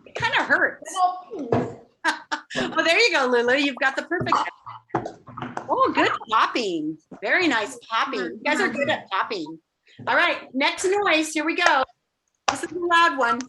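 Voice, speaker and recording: A woman's voice: high-pitched, expressive, unhurried, long pauses. A woman teacher casually leading a class game over a video call, playfully prompting and encouraging children. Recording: noticeable background noise on video call.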